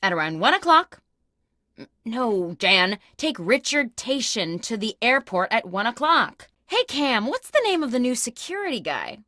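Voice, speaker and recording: A woman's voice: fairly high pitch, big pitch swings, normal speed, bright, forceful tone, no echo, clean studio sound. A woman playing a clueless, self-important office boss in a comic video game, with a bossy, theatrical delivery. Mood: brisk, bossy. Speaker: video game character host. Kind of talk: comic scripted phone monologues.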